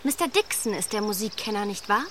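A woman's voice: mid-range voice, expressive, a little fast, fairly bright tone, a little hiss or hum, natural voice. An actress playing a clever young lady in a radio play, probing and ironic in her questions.

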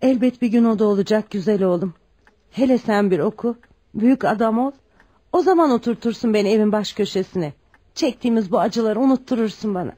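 Tender widowed mother played by a woman, mellow voice. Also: mid-range voice, brisk, lightly acted. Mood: tender, determined. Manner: pleading, tender, emphatic. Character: devoted, self-sacrificing widowed mother working as a maid. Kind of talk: scripted radio drama acting.

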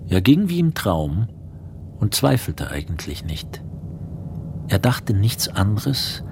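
A man narrating a literary radio drama in a measured storytelling manner. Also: on the low side, sing-song, noisy background. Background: instrumental music.

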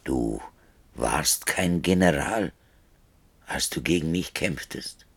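An actor playing a grave, knowing war chief in a German radio play, speaking in a solemn, measured and quietly challenging way. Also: male voice, rumbling low, animated, vocal fry, breathy edge, dry recording, exaggerated voice.

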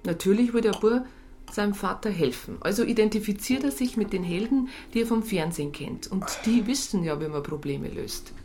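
A woman's voice: mid-range voice, animated, fairly quick, dark tone, faint music behind, lightly acted. Woman playing a blunt, sardonic police psychologist. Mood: resigned, sardonic. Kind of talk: naturalistic radio drama dialogue.

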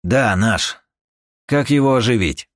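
Мужчина озвучивает нетерпеливого, грубоватого наёмника в аудиокниге, говорит резко и отрывисто. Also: male voice, medium pitch, expressive, takes it slowly, dry recording, studio quality, pitched above the performer's own voice. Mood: impatient. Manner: curt, slangy, combative. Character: brash, impatient mercenary man, gruff and stubborn.

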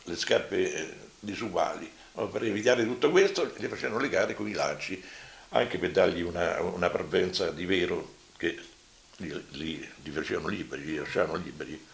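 Gravelly, deep-voiced old Roman survivor. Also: male voice, moderate pace. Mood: somber recollection. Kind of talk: halting spoken testimony. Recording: roomy, echoing voice.

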